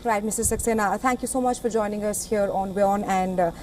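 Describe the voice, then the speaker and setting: A woman's voice: mid-range voice, steady tone, a little fast, a little mellow, slight background noise. A woman anchoring live breaking news and interviewing a guest.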